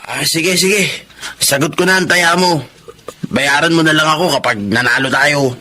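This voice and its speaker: A man's voice: fairly high pitch, moderate pace, bright, forceful tone, a little hiss or hum. A man playing an easygoing, coaxing gambling buddy in a radio drama. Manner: breezy, coaxing.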